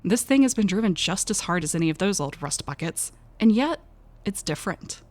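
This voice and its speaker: A woman's voice: mid-range voice, animated, a little fast, darkish tone, lightly acted. A woman playing an uneasy, wry young female cashier, narrating an audio drama in a wary, conversational first person. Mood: tense curiosity.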